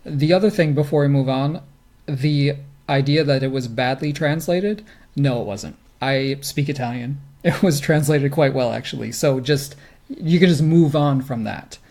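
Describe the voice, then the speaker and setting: A man's voice: mid-range voice, normal speed, darkish tone. A man co-hosting a sports podcast, talking in a casual, conversational style and offering commentary. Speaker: podcast co-host.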